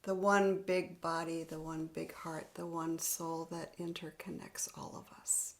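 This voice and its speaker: Woman meditation leader, soft half-whispered delivery, low gravelly voice, video call audio. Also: level tone. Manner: gentle, unhurried, laughs lightly. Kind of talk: guided spiritual practice.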